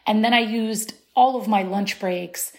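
A woman in her thirties, originally from Syria, tells her story as an interview guest. She speaks thoughtfully, pausing on fillers and restarting her sentences, at a middling pitch. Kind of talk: conversational interview.

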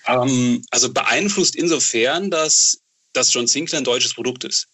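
A man's voice: mid-range voice, a little slow, pushed and bright. A man in his thirties chatting casually as an interview guest, often tagging his phrases with a questioning filler. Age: 30s. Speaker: horror novel author, interview guest.

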